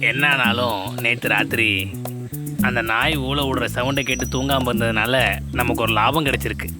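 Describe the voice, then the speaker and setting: A man voicing a sly, greedy, cowardly animal villain in a children's cartoon, exclaiming melodramatically, with loud music behind. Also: medium pitch, fairly even intonation, moderate pace, natural voice. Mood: sly, gloating. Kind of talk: children's cartoon story acting.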